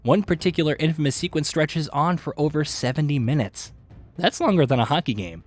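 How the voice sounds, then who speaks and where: A man reading scripted video game list narration with an emphatic, punchy delivery and dramatic pauses. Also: on the high side, animated. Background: background music.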